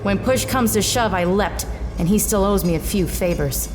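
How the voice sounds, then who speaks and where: A woman's voice: mid-range voice, normal speed, noisy background, natural voice. An actress playing a hard-drinking, wisecracking, world-weary female private eye in a noir detective audio drama. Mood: wry, brooding. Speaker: lead actor in a science fiction noir audio drama.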